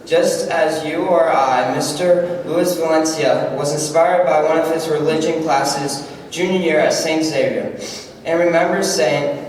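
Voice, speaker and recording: A man's voice: fairly high pitch, fairly even intonation, a little slow, darkish tone. Teen boy reading a formal introduction. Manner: formal, earnest, slightly stumbling. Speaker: student introducing the speaker. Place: large school hall. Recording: echoey hall from far off.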